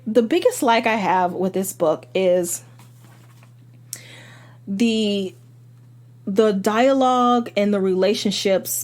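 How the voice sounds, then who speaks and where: A woman with a slightly hoarse voice chats through a book review, rambling a little and stopping to restart her thoughts. Her pitch sits in a middle range for a woman. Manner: chatty, rambling with fillers and restarts. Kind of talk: casual book review monologue.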